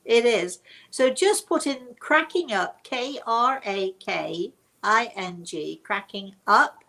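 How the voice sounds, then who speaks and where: A woman's voice: on the high side, lively intonation, slow pace, bright, forceful tone. An older woman with a British accent, hosting a casual, chatty online talk and rambling from one story to the next. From Britain. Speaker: host. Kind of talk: casual chat to online viewers.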